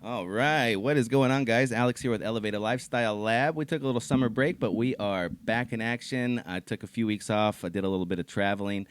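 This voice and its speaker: A man hosting a casual podcast, excitable and chatty, laughing often and calling his guest dude and man. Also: fairly low pitch, a little fast, hardly any pauses, smooth, dry recording. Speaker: podcast host.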